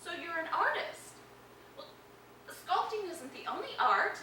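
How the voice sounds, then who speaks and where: An actress playing an earnest hospital counsellor who talks gently and professionally to a patient. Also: female voice, very high voice, big pitch swings, unhurried, noisy background, heavily acted. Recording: roomy film sound from a distance.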